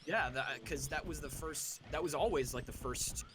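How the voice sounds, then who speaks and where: A man's voice: a little high, moderate pace, crowd noise. A young man co-hosting a stream, chatting casually and rambling through stories with restarts. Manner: rambling, self-deprecating, trails off. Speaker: stream co-host. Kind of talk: casual chat.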